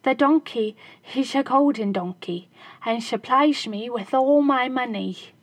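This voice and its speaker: A woman's voice: fairly high pitch, unhurried, no echo. A woman doing a crafty little shoemaker. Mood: sly. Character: crafty little male shoemaker, clever and spirited.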